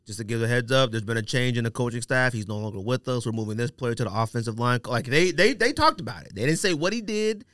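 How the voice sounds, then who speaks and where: A man co-hosting a casual sports podcast, animated and slangy as he argues his points. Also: medium pitch, dry recording, clean studio sound.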